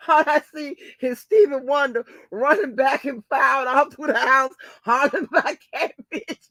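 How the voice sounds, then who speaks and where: A woman's voice: high voice, a little slow, a bit bright, dry recording. A woman, hosting a casual gossip commentary, swearing freely, laughing often and calling listeners baby and honey. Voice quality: hoarse. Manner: cackling, profane, calls listeners baby and honey. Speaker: channel host.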